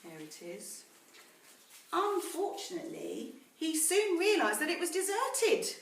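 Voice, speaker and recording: A woman reading a story aloud to children in a teacherly way, pausing to explain words and ask questions. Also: very high-pitched, huge pitch swings. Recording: roomy echo, distant mic, faint noise.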